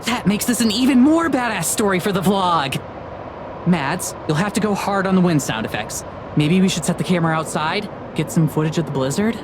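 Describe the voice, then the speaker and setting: Woman acting a low-voiced, brash young vlogger woman. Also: expressive, a little fast, background noise, natural voice. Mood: brash, eager. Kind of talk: visual novel voice acting.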